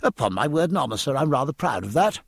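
A man's voice: mid-range voice, very animated, quick pace, creaky, husky, no room sound, studio quality, pitched above the performer's own voice, heavily acted. A man voicing a proud young midshipman in an audiobook, declaiming verse in a pompous, mock-grand manner. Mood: proud, declamatory. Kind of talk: audiobook character acting.

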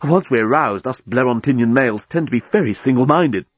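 A man's voice: mid-range voice, lively intonation, a little fast, husky, no room sound, lo-fi sound. A man voicing an amorous, single-minded male alien journalist in a comic audiobook, gushing and pleading. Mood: smitten. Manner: gushing, wheedling.

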